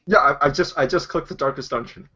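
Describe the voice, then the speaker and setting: A man's voice: a little high, fast talker, bright, forceful tone, husky. A man chatting casually as a guest on a stream, a little flustered and repeating himself. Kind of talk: casual chat. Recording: dull voice call audio.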